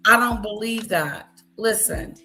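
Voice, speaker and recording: Blunt, bright-voiced woman commentator, unhurried. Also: mid-range voice. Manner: blunt, repetitive, mimics others' words. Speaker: commentary host. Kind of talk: reaction commentary on played clips.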